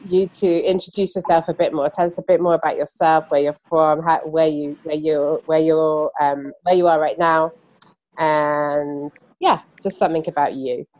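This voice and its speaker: A woman's voice: low-pitched, fairly even intonation, normal speed, lo-fi sound. A woman hosting a podcast from London, chatting loosely with frequent ums and restarts. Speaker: podcast host. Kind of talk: casual conversational interviewing.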